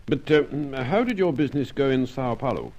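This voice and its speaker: An actor playing a courteous but prying English commander in an old radio serial, speaking with hesitant, fussy politeness. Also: male voice, mid-range voice, lively intonation, brisk, darkish tone, muffled recording, lightly acted. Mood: politely prying. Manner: hesitant, fussily polite probing.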